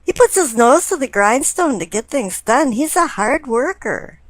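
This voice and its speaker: A woman giving a tarot card reading, explaining it deliberately, phrase by phrase. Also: high voice, animated, dry recording. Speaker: tarot reader.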